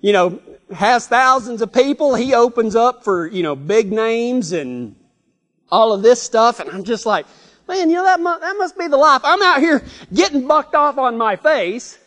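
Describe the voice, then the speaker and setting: A man's voice: extremely high pitch, sing-song, moderate pace, fairly bright tone, in a large hall. A man with a Texan accent preaching a folksy, rambling sermon, stumbling over his words and chuckling as he tells a story.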